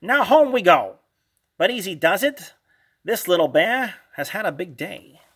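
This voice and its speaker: A man's voice: sits high, big pitch swings, unhurried, a bit bright, heavily acted. Man putting on a screechy, crafty old witch. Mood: kindly, gentle. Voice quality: screechy, cackling. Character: crafty cackling old witch woman, fierce but kindly.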